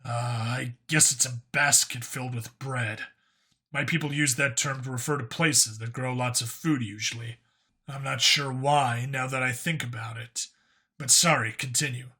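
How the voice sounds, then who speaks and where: Man playing a dry, skeptical cop, bright-edged voice. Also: mid-range voice, fairly even intonation, moderate pace, husky. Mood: wry, casual. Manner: dry, casual. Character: Vincent, wry, skeptical human man working as cop.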